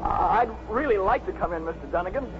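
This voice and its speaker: An actor playing a despairing, bitter young man in a halting, strained 1950s radio drama style. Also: high-pitched, animated, music in the background. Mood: anguished. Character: despairing young man threatening to jump from a ledge. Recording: muffled, noisy 1950s radio recording.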